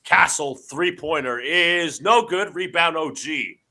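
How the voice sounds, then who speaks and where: A man's voice: fairly high pitch, takes it slowly. A man hosting a live stream, calling basketball play-by-play and chatting with his co-host, sounding congested from allergies. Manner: excitable, shouts on big plays. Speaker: livestream host doing play-by-play. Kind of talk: live watch-along play-by-play commentary.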